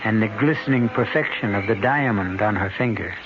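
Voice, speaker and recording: A man hosting and narrating a 1970s radio mystery drama in a deliberate, dramatic scripted style. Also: lowish voice, noisy background. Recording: muffled old radio broadcast, music behind.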